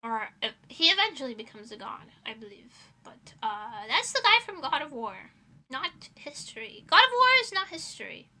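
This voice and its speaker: A woman with a high-pitched, very bright and sharp voice chats casually to a livestream audience. She rambles playfully from one aside to the next. She is a native Portuguese speaker. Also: very animated, moderate pace. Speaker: streamer.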